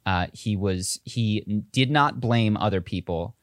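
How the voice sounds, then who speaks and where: A man with a fairly low voice chats casually on a podcast. He tells stories with eager asides. The sound is close and dry, with no room around it. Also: no room sound. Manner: enthusiastic storytelling, quick asides, laughs easily. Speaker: podcast co-host. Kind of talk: casual conversational chat.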